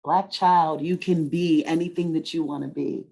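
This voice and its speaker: A woman's voice: low voice, fairly even intonation, normal speed, gravelly, mellow. A woman hosting a webinar, chatting informally as she welcomes listeners and puts simple questions to her co-host. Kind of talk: casual welcoming chat with audience and a child.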